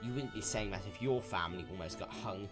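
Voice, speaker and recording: A woman's voice: extremely low pitch, brisk, loud music behind the voice. A woman voicing a glum, worried young male dragon, hesitant and sullen, in a dramatic fan-fiction reading.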